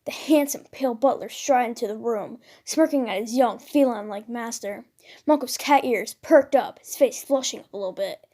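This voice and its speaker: A woman with a very high voice reads a story aloud. She narrates it as comedic fan fiction, with a dramatic storytelling delivery. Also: normal speed, dark tone. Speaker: fan fiction writer and reader.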